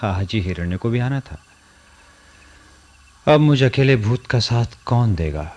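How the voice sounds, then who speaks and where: A man's voice: medium pitch, expressive, unhurried, darkish tone. An actor in a radio play playing a lonely, brooding man who muses theatrically and hesitates, repeating phrases. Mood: brooding, regretful. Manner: theatrical musing, hesitant repetitions. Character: lonely brooding man, wistful, curious, easily smitten. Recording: music under the voice.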